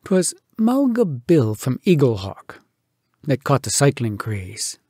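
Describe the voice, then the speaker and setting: Male storyteller for comic poetry: raspy, fairly high voice, expressive and theatrical, recorded close and dry. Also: dry recording. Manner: theatrical, animated delivery with dramatic pauses. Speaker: storyteller reading poems aloud.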